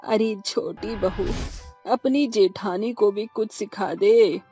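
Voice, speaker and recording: A woman voicing a stern, weary older mother-in-law with a husky voice in a cartoon drama. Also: medium pitch, slow pace, warm tone, faint music behind, lightly acted. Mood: scolding. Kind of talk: Hindi cartoon drama acting.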